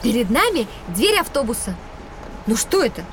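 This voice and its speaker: A woman playing a cautious, sensible and somewhat bossy young schoolgirl in an educational children's audio drama, expressive and admonishing. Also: on the high side, moderate pace, slight background noise. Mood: observant.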